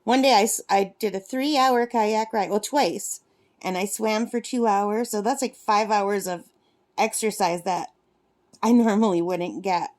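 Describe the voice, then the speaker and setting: A woman's voice: mid-range voice, measured pace, darkish tone. Woman in her fifties, craft vlogger, rambling casual chat, self-correcting. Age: 50s. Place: cabin at a lake.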